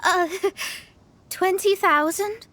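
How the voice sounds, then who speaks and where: A woman's voice: very high voice, very slow, pushed and bright, full character voice. A woman playing a proud young astrologer in a video game, speaking in a formal, lofty, self-important way. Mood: flustered. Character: proud young female astrologer, haughty and principled. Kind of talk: video game character acting.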